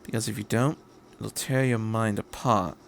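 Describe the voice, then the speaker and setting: A man voicing a quirky, cheerful, curious time-traveling adventurer in a story reading, with a brisk, rambling delivery. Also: fairly low pitch, fairly even intonation, gravelly, slight background noise. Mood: grave. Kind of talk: audiobook-style story reading.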